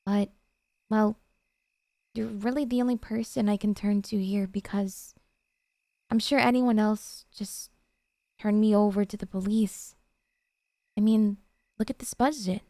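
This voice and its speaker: A woman's voice: medium pitch, fairly even intonation, a little slow, long pauses, mellow, no room sound, close to an everyday voice. A woman playing an obsessive, possessive yandere girlfriend in an intimate ASMR roleplay, talking in a coy, cutesy, hesitant way to her sweetheart. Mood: sweet pleading, then sheepish justifying.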